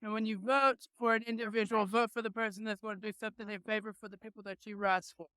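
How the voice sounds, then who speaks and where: A rally attendee interviewed outdoors, speaking emphatically with halting fillers. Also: medium pitch, level tone, pushed and bright, smooth, no echo. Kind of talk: street interview.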